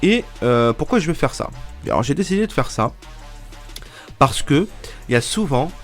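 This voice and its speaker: A man's voice: mid-range voice, sing-song, moderate pace, faint music behind. Man in his twenties with a cold. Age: 20s. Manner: rambling, many fillers and restarts. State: stuffy from a cold. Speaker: YouTuber. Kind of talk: casual vlog talk to viewers.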